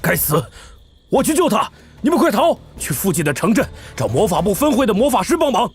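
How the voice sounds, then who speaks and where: A male actor playing an earnest, protective village father and handyman in an animated drama. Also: high voice, fairly quick, husky, soft background music, heavily acted. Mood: alarmed, fierce.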